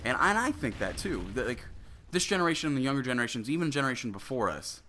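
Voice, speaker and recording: A man's voice: medium pitch, big pitch swings, fast talker, bright, forceful tone. A man in his twenties with a cold and a nasal, stuffy voice, rambling through a solo commentary and often breaking off mid-sentence to restart. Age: 20s. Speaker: gaming commentator.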